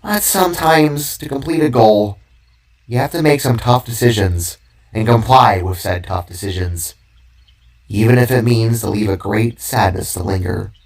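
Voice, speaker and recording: A man's voice: fairly low pitch, moderate pace, far from the mic, close to an everyday voice, low-quality recording. A man voicing a tiny talking cube artifact from a story, talkative yet hesitant, weary and sorrowful. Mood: resigned. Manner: talkative, hesitant, fumbling for words. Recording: background music under the voice.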